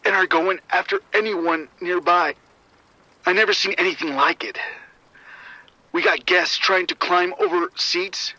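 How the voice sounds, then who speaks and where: An actor playing a shaken security officer giving urgent, grim reports over radio in a horror audio drama. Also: male voice, high-pitched, vocal fry, bright, forceful tone, no echo, exaggerated voice. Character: shaken male arena security officer reporting a violent outbreak. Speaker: security supervisor. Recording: muffled, band-limited radio transmission.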